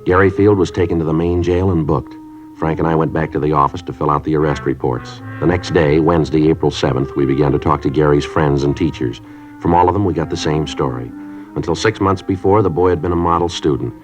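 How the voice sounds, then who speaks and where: An actor playing a stern middle-aged police sergeant who narrates in a clipped, matter-of-fact way. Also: male voice, extremely low pitch, brisk. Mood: flat narration. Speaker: detective narrator. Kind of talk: 1950s radio acting. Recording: dull old radio recording with music.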